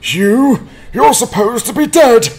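Suspicious village innkeeper acted by a man, indignant, unhurried, lively high voice, fantasy audiobook. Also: slightly rough, full character voice. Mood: alarmed, suspicious. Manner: indignant, defensive. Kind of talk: dramatized fantasy audiobook acting.

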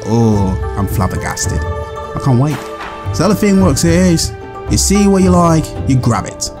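A man in his thirties vlogging on city streets and in a busy mall restaurant, chatty and excitable, filming himself at arm's length. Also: fairly high pitch, measured pace. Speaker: food vlogger. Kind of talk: casual vlog narration. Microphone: handheld camera held at arm's length. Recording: loud background music and crowd noise. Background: loud music and crowd chatter.

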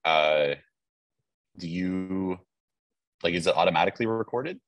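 Young man, low voice, husky, dry sound, casual video call chat, lots of fillers. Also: unhurried, no echo, muffled recording. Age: young adult. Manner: relaxed, chatty, many fillers and laughs. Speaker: conference speaker. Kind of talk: casual pre-webinar chat. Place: front of a university lecture hall.

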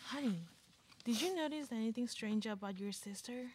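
A woman's voice: fairly high pitch, normal speed, darkish tone, a little hiss or hum, lightly acted. A woman playing a polite but exasperated mother in an amateur radio play. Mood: politely uneasy. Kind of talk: amateur live radio drama acting. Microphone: microphone on stand.

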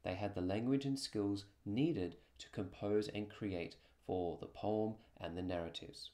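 A man's voice: on the low side, measured pace, mellow. A man who teaches music, narrating a prepared presentation about classroom work.

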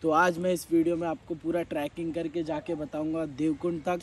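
A man's voice: a little high, flat delivery, fairly quick, a little hiss or hum. A young man vlogging to his phone camera outdoors on a forest trek, chatty and enthusiastic as he walks. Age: young adult. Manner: chatty, enthusiastic, talks to camera while walking. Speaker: travel vlogger. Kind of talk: casual trekking vlog. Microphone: phone at arm's length.

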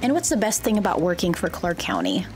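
Brisk woman host asking quick questions. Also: fairly low pitch, huge pitch swings, slight background noise. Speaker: interview host. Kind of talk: scripted quick-fire questions. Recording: background music underneath.

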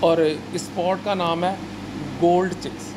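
Older man, chatty food vlogger, roomy cafe echo, loud air conditioning hum. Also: high voice, level tone, unhurried. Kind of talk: casual food review vlog. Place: fast food cafe indoors.

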